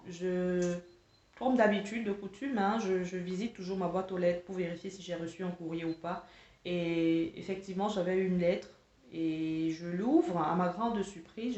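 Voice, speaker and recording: A woman's voice: lowish voice, mellow. Exasperated woman storyteller in an echoey room. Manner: exasperated, emphatic, hesitant with repeated words. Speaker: online coach telling a personal anecdote. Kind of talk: spoken storytelling to camera, recounting dialogue. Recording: echoey room, slightly dull lo-fi sound.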